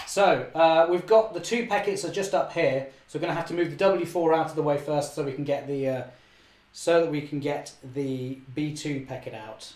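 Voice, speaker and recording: A young man, slightly hoarse, chatting cheerfully through a live model railway session and correcting himself often. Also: a little high, steady tone, normal speed, a little mellow, roomy sound. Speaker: model railway channel host. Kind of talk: live-stream running commentary.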